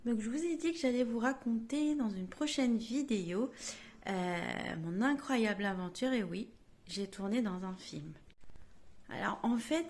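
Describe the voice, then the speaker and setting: Brief: woman vlogger, slightly high voice, chatty home storytelling to camera. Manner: chatty, self-mocking, lively quoted voices.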